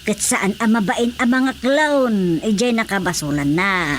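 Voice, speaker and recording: A woman's voice: on the high side, measured pace, a little hiss or hum. A woman playing a nagging, pious, motherly villager with scolding interjections, in an Ilocano radio drama. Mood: earnest counsel. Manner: exclaiming, scolding, animated interjections.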